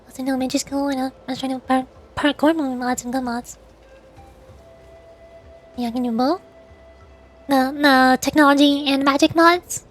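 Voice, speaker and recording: A woman's voice: high-pitched, steady tone, unhurried, pauses often, faint music behind. A woman livestreamer chatting casually, a little hesitant and correcting herself as she goes. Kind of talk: casual livestream chat, reading out chat messages.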